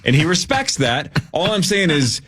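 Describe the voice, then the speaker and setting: A man in his forties hosting a sports radio show, joking and teasing his guest in quick banter. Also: on the high side, big pitch swings, a bit bright. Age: 40s. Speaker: radio host. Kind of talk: casual sports radio chat.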